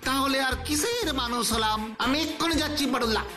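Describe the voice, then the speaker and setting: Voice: high-pitched, lively intonation, fast. A performer voicing a cheeky, gluttonous cartoon schoolboy with a glib, wheedling way of talking. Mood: eager. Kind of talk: exaggerated cartoon dubbing. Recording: loud music behind the voice.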